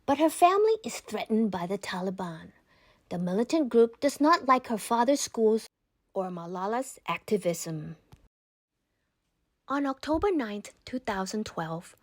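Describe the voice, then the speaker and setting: A woman narrating a children's book aloud in a scripted, deliberate and expressive read-aloud style. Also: medium pitch, no echo, studio quality.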